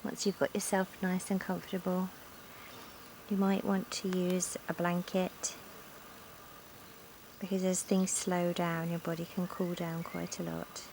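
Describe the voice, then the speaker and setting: A woman leading a guided yoga nidra relaxation in a calm, gentle, soothing manner with long pauses, recorded outdoors in a garden. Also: fairly low pitch, gravelly, rough recording. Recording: outdoor recording with insect and nature noise. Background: insects, sounds of a sunny garden.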